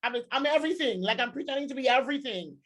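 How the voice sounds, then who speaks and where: Emphatic woman host, higher-pitched voice. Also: quick pace. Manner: emphatic, excitable, repeats words, cuts in. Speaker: podcast host. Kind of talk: casual interview chat.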